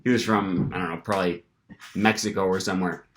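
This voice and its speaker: A young man vlogging casually, telling an animated story with lots of fillers and restarts. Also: low-pitched, fairly quick. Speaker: language-learning vlogger.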